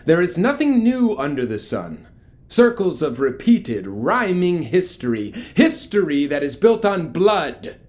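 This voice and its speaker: An actor playing a charismatic, ominous cult leader in a horror audio drama, preaching grandiosely with rhetorical pauses. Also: male voice, fairly high pitch, sing-song, a little mellow. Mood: fervent, grandiose. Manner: theatrical, grandiose, pausing for rhetorical effect.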